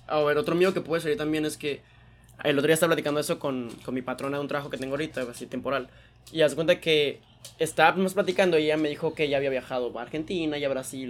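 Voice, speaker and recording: A young man from Mexico, chatting casually as a podcast host, slangy and animated. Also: a little high. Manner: slangy, animated, fast storytelling. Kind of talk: casual chat.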